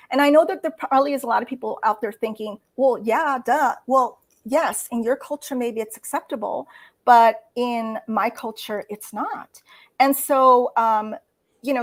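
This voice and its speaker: A middle-aged woman with a fairly high voice speaks as an interview guest. She talks earnestly and thinks aloud, with frequent fillers and self-corrections. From United States. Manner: earnest, many fillers and restarts. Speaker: guest writer. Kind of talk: casual interview talk.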